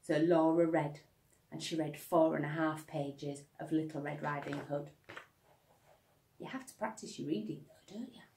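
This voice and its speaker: A woman's voice: deep voice, fairly even intonation, normal speed, mellow. A woman narrating a children's storybook like a teacher reading aloud. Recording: echoey room, slightly distant microphone.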